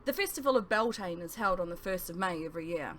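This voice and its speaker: A woman reading a first-person novel aloud as scripted narration, with emphatic phrasing and dramatic pauses. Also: lowish voice, animated, fairly bright tone, a little hiss or hum.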